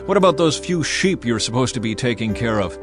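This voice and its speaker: A man's voice: mid-range voice, sing-song, fairly quick, over music, natural voice. A man doing an angry scolding older brother. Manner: scolding, accusing.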